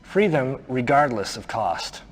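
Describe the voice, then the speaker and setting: An actor playing a smug, menacing militant cult lieutenant who gives orders in a theatrical, condescending way in a video game cutscene. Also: male voice, medium pitch, very animated, unhurried, breathy edge. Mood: smug, commanding. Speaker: villain briefing officer.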